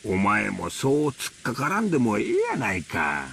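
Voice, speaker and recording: An easygoing middle-aged husband in a visual novel, with a Kansai accent. His voice is lowish and gravelly, moving expressively up and down. He talks in a folksy, soothing way. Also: wildly swooping pitch, fast talker, a bit bright, a little hiss or hum, full character voice. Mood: placating. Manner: placating, folksy. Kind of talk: Japanese visual novel voice acting.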